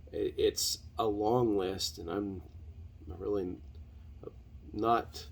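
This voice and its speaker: Slow, low, gravelly male podcaster. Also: a little mellow. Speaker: podcast host. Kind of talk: unscripted solo podcast monologue.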